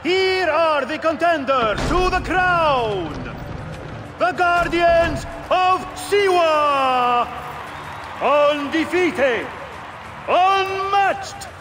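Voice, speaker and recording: A man's voice: extremely high pitch, very slow, music in the background, a crowd in the background, exaggerated voice. A man playing a bombastic, grandly theatrical arena announcer in a video game. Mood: triumphant. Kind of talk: dramatic video game acting. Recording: noisy arena ambience.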